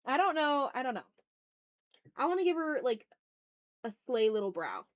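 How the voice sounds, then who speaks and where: A woman with a somewhat high voice hosts a casual art stream. She talks to her viewers as if to friends, in slangy, animated phrases. Her manner is teasing and informal, with swearing mixed in. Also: moderate pace. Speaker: livestream host and artist. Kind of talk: casual art livestream chat, reading and answering chat messages.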